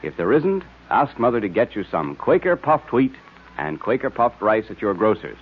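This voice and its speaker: A man's voice: on the low side, big pitch swings, normal speed, husky. A man working as announcer and narrator, delivering a scripted read in old-time radio style. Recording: tinny vintage radio recording.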